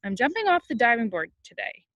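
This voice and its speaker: A woman's voice: on the high side, very animated, brisk, fairly bright tone, dry recording, pitched above the performer's own voice, low-quality recording. Storybook young boy, read by a woman, eager and outwardly brave, nervously stalling, dry close recording. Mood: eager, confident.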